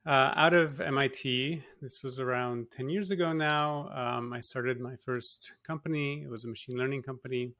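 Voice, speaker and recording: Guy from singapore on a podcast, kinda gravelly voice, lots of ums and you knows. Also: mid-range voice, a bit bright. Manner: thoughtful, with many fillers and restarts. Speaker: guest, tech company co-founder. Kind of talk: casual interview talk.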